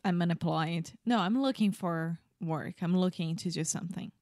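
A woman's voice: lowish voice, moderate pace, darkish tone, no room sound, studio quality. A Brazilian woman in her thirties, a native Portuguese speaker, co-hosting an English lesson with brief, agreeable replies. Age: 30s. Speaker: podcaster, co-host. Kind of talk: bilingual language lesson.

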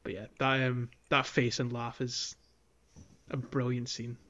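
A man's voice: medium pitch, little pitch movement, a little slow, a bit bright. A man co-hosting a podcast in informal, sweary, casual conversation. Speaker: podcast co-host. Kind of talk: casual chat.

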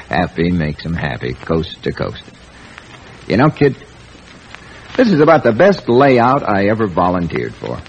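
Deep-voiced, cocky old-radio gangster. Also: male voice, huge pitch swings, unhurried, long pauses, muffled recording, exaggerated voice. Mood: cocky, flirtatious. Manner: slangy, smooth-talking tough guy. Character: cocky, flirtatious New York hitman posing as salesman. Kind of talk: old-time radio crime drama acting. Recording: noisy old radio recording.

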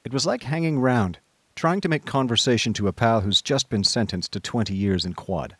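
A man playing a genial, wry young gentleman who narrates his own misadventures in a comic radio drama. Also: medium pitch, normal speed, husky, no echo. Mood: rueful. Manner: anecdotal, understated. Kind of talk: scripted first-person comic narration.

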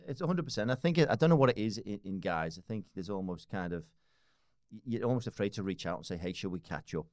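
A man's voice: fairly low pitch, expressive, fast, a little mellow, no room sound, studio quality. A man in his forties with a Liverpool accent, chatting casually as a podcast guest, rambling with lots of restarts and fillers. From Liverpool, England. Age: 40s.

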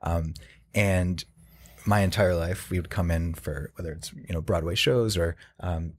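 A man from New Jersey recounting memories in a casual group conversation, halting with fillers. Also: deep voice, slightly rough.

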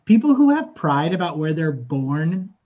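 A man's voice: on the high side, big pitch swings, measured pace, darkish tone, muffled recording. A man from Texas, a guest on a comedy podcast, telling casual, opinionated anecdotes.

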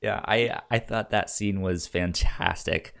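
A man with a low-pitched voice talks slowly in a casual film chat. He stammers often, doubling back on words and syllables as he works through his thoughts. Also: steady tone, gravelly, a bit bright, smooth. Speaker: film podcast co-host.

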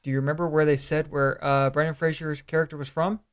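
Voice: medium pitch, little pitch movement, fast, clear, resonant tone. A podcast co-host who riffs and jokes in casual, comedic conversation. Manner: joking, riffing.

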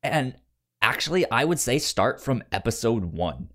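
Male podcast co-host, mid-pitched, chatty and keen, halting delivery with repeated first sounds from a stutter. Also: big pitch swings, fairly bright tone. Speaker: podcast review show host. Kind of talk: casual podcast chat.

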